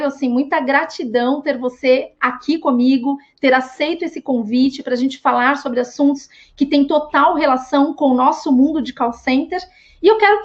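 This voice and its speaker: A woman's voice: high-pitched, muffled recording. A woman with a Brazilian accent hosting a live interview, introducing her guest in a conversational way. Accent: Brazilian Portuguese. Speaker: live show host.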